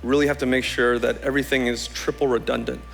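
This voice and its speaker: A man's voice: mid-range voice, little pitch movement, fast, vocal fry, a little hiss or hum. A man giving an informal live explanation and demonstration as an expert guest. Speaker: space agency expert, guest.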